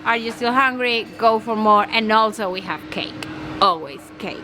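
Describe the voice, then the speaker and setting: A woman's voice: fairly high pitch, normal speed, background noise. A woman leading a volunteer induction at a restaurant, upbeat and encouraging. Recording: loud music and busy crowd behind.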